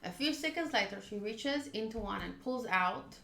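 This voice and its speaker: A woman's voice: on the high side, lively intonation, normal speed, gravelly, sharp tone. A woman streaming a game, reading the story aloud and adding casual commentary. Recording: roomy echo, faint game music behind.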